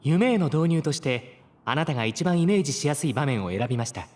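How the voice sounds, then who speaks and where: A man playing a polite, caring artificial intelligence with formal, measured speech in a Japanese audio drama. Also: a little high, very animated, a little mellow. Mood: reassuring, explanatory.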